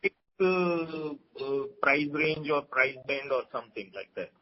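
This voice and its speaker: Male executive, Indian accent, fairly high pitch, halting delivery on an earnings call, lo-fi sound. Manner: halting, hesitant, piecing answers together. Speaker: company executive. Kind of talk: answering questions on an earnings call. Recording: dull lo-fi conference call audio.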